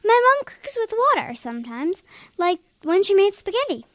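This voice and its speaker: A woman's voice: very high-pitched, big pitch swings, moderate pace, very noisy. A woman playing a young schoolgirl in a children's radio drama, helpful, frank and plain-spoken in a childlike way. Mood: helpful, unsure.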